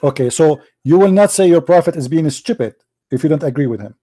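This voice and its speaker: A man's voice: on the high side, animated, fast talker, mellow. A man whose first language is Arabic, arguing hard in a heated religious debate, cutting in and repeating himself. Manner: combative, interrupting, repeating himself.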